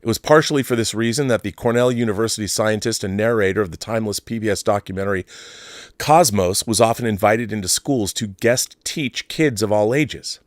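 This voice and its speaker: Male livestream host, fairly low pitch, conversational delivery with asides, recorded very dry. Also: fairly quick, no room sound. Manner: conversational asides, repeats himself for emphasis. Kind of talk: casual live commentary, reading out comments and articles.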